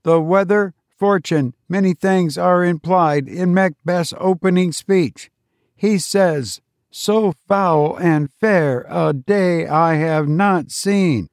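A man voicing a calm, strict robot teacher who speaks flatly and without feeling. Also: sits high, dry recording, studio quality, voice pushed up. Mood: instructive. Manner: flat, unfeeling, measured.

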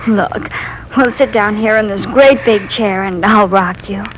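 A woman's voice: high-pitched, big pitch swings, moderate pace, fairly bright tone, a little hiss or hum, rough recording. An actress playing a fussy, haughty invalid wife who rules her home with tears, in a 1940s radio play. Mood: tender.

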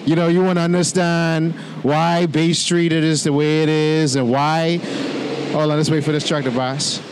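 A Bahamian man hosting a casual podcast with a mixed Bahamian and American accent, talking in an animated, loose, conversational way. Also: fairly high pitch, normal speed, noisy background. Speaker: podcast host. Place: outdoors beside a street.

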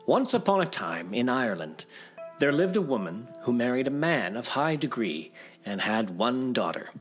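Theatrical male storyteller with animated pitch. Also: moderate pace, soft background music. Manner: theatrical, expressive. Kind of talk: dramatic fairy tale narration.

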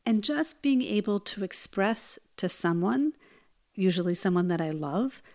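A woman hosting her own podcast, speaking in a gentle, earnest way and telling personal stories. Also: medium pitch, expressive, unhurried. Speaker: podcast host. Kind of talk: solo podcast talk.

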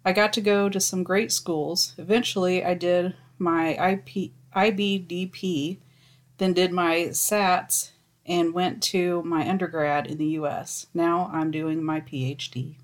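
A woman reading stories aloud in a casual chat, with wry deadpan asides and some swearing. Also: lowish voice, unhurried.

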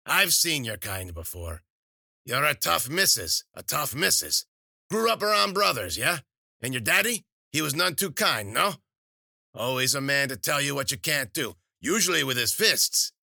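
A man's voice: medium pitch, sing-song, moderate pace, gravelly, pushed and bright, dry recording, studio quality, voice pushed up, exaggerated voice. A man voicing a spiteful old woman witch, scolding and cursing.

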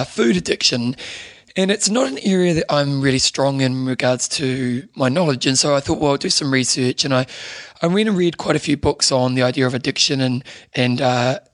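A man hosting a podcast in a casual, rambling way, his voice hoarse and strained. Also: fairly high pitch, dry recording. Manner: rambling, many restarts and fillers. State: strained, losing voice. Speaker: podcast host.

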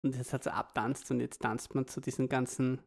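Gravelly dad ranting for laughs. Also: male voice, medium pitch, flat delivery, fairly quick. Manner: joking, ranting with exaggerated disbelief. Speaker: podcast co-host, parent. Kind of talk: casual chat.

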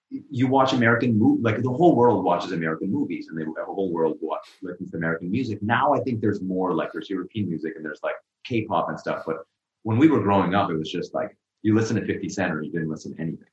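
Deep-voiced man, rambling and casual. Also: roomy sound, lo-fi sound. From Pakistan. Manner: loose, rambling, full of "like" and restarts. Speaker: guest. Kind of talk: casual interview conversation.

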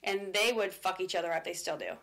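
A woman's voice: on the low side, steady tone, fast talker, fairly bright tone. A woman hosting a podcast, chatting in a casual, candid interview style with informal language. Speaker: podcast host.

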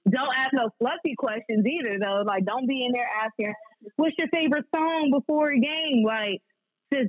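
A woman's voice: mid-range voice, dry recording, dull audio. A woman speaking candidly and slangily as a guest sports reporter in a casual podcast conversation.

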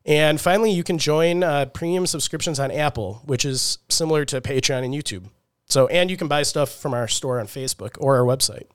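A man's voice: fairly high pitch, a little fast, clean tone, no room sound, clean studio sound. Congested man co-hosting a podcast. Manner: loose, chatty, with many fillers. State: congested from a cold. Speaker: podcast co-host. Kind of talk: casual podcast chat.

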